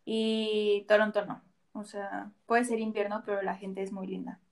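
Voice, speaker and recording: A woman's voice: mid-range voice, little pitch movement, measured pace, gravelly. A woman with a Mexican accent, a guest in a casual chat, giving candid opinions with frequent fillers. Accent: Mexican Spanish.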